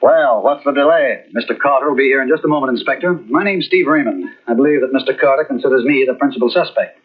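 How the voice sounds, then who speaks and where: A man's voice: medium pitch, fast talker, husky, lightly acted. An actor playing a suave, wry male murder suspect in an old radio mystery, dry-humored under pressure. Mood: polite. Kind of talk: 1940s radio acting. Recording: tinny old radio recording.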